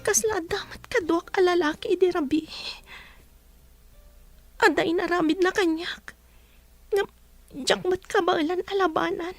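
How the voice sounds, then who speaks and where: Woman playing a very high-voiced distressed young woman. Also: fairly even intonation, unhurried, long pauses, no echo, faint music behind. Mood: distressed, bewildered. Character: distressed, bewildered young woman, tearful victim. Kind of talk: radio drama acting.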